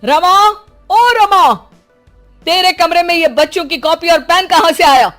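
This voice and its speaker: A woman's voice: very high voice, a little slow, a bit bright, soft background music, voice pushed up. A woman voicing a haughty, domineering middle-aged mother-in-law in a melodramatic moral story. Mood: sharp, suspicious. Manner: domineering, sneering.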